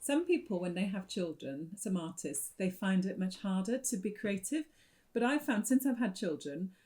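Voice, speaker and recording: A Welsh woman, a textile artist, talking thoughtfully and earnestly in a documentary interview, with pauses and restarts. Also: medium pitch, darkish tone. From Wales.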